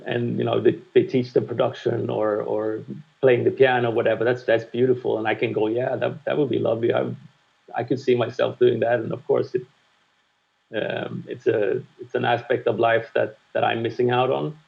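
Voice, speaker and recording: Gravelly fifty-something guy, candid and thoughtful, bit of a dull lo-fi sound. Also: medium pitch, level tone, moderate pace. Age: 50s. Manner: reflective, frank, swears casually. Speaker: guest music producer. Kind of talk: candid conversational interview.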